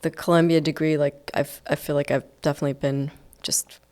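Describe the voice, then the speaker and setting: Guest composer, woman in her thirties, from Iceland, low-pitched, hesitant and reflective, lots of fillers. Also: level tone, a little fast, a little mellow. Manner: thoughtful, hesitant, many fillers. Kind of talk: casual podcast interview.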